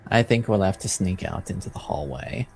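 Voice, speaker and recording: A man acting as game master, improvising narration casually and haltingly as he thinks aloud. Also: sits low, creaky, darkish tone, husky.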